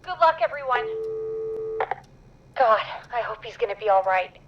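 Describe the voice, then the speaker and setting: A woman's voice: a little high, expressive, moderate pace, highs cut off, background noise, close to an everyday voice. A woman voicing an earnest, urgent radio producer in a video game, businesslike and concerned. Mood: earnest, worried. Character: earnest, brisk female radio show producer.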